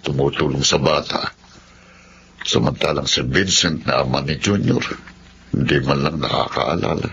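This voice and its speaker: Deep-voiced gentle Filipino grandfather. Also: normal speed, creaky, long pauses, full character voice. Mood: fond. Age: older. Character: gentle, doting elderly grandfather. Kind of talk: Tagalog radio drama acting. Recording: muffled, noisy radio drama audio.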